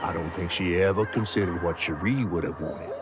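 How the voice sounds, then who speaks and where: An actor playing a male storyteller in a folklore video game, narrating in a measured, folksy way. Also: sits low, loud music behind the voice, natural voice. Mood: reflective storytelling. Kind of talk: scripted folktale narration.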